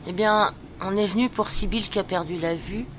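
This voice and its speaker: Woman acting a brisk upbeat female companion, noisy recording. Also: medium pitch, lightly acted. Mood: polite, interested. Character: brisk, upbeat woman looking after a blind teenage girl. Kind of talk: amateur audio drama acting. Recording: clear background noise.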